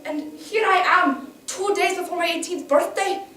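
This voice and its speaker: A woman plays a young immigrant factory girl in a stage monologue about surviving a fire. Her high voice is intense, frightened and halting. It sounds distant in an echoey room. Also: pushed and bright, a little hiss or hum. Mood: frightened, determined. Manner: intense, dramatic, halting storytelling.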